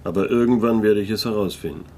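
A male actor as a private detective in a German radio drama, his voice on the low side. He sounds sceptical and sardonic, keeping a dry, wry, guarded manner throughout. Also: quick pace, dark tone, a little hiss or hum, close to an everyday voice.

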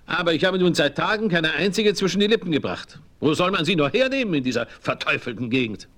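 A sly funny grumbly cowboy, kinda high voice swinging all over, old western audio drama. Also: male voice, very animated, fast talker, fairly bright tone. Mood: comic grumbling. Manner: droll, exaggerated grumbling. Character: comical, grumbling, sly cowboy craving cigarettes. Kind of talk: 1970s German western audio drama acting.

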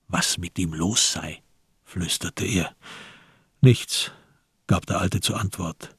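A man narrating a literary radio drama in a measured, grave and deliberate manner. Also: deep voice, slightly rough, dry recording, studio quality.

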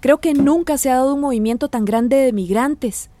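An actress playing a warm, earnest, chatty woman in a radio drama. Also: sits high, normal speed, no room sound, natural voice. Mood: concerned.

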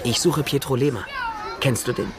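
An actor playing a glib, streetwise private detective in radio drama dialogue, brisk, casual and probing. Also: male voice, medium pitch, over a noisy crowd, heavy background noise, natural voice.

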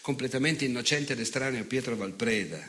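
An actor playing an unrepentant, ageing fascist in a theatrical monologue, declamatory and sardonic, repeated phrases and dramatic pauses. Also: male voice, mid-range voice, fairly bright tone, lightly acted. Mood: scornful. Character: unrepentant ageing fascist nostalgic, bitter, sneering, menacing man.

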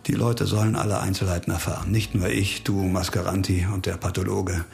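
Low, gravelly, grim police investigator. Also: male voice, little pitch movement, fairly quick, a little mellow, soft background music. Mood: cold, resolute. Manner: insistent, probing questions. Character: grim, hard-bitten male police investigator bent on retribution. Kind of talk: radio crime drama acting.

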